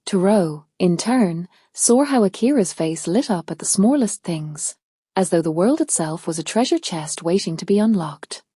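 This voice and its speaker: A woman's voice: fairly low pitch, expressive, moderate pace, dark tone, slightly rough, no room sound, clean studio sound. A woman narrating a scripted storybook tale as a storyteller.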